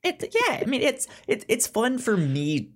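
A man co-hosting a podcast in casual chat, summarizing and reviewing in a halting way, often stammering and repeating words. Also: very high voice, huge pitch swings, fairly bright tone. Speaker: podcast co-host.

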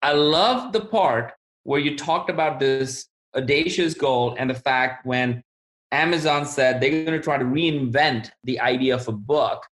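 A male podcast host with a middle-pitched voice sums up what he learned. He is eager and affirming, often repeating that he loves a point. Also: a little slow, a bit bright. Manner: enthusiastic, affirming, summarizes takeaways. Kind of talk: interview wrap-up and summary.

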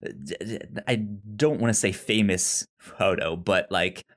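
A podcast co-host chatting casually about film in a halting way, often repeating and stammering on words. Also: low-pitched.

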